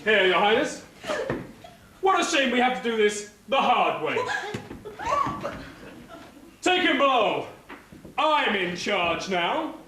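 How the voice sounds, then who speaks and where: A man's voice: sits high, sing-song, slow pace, long pauses, exaggerated voice. A man playing a scheming, bossy pantomime baron on stage, grandly theatrical and mock-polite. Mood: commanding. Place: theatre stage before an audience. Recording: echoey hall, distant mic, noisy.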